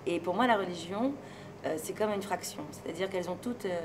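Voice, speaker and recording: A woman from France being interviewed, speaking in a relaxed, thoughtful and hesitant way and laughing easily. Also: on the low side, fairly quick. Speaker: interviewee, singer and stage performer. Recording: noisy location recording with ambient sound.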